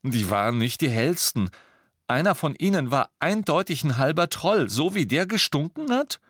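A man's voice: on the high side, expressive, unhurried, fairly bright tone, dry recording, clean studio sound, voice pushed up. A man voicing a defensive, agitated teenage boy in an audiobook, insistent and earnest as he pleads his case. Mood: explaining. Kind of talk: audiobook character voice.

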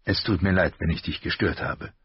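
A man voicing a grave, patient noble lord who speaks low, slow and measured. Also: husky, dry recording. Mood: stern. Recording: dull lo-fi recording.

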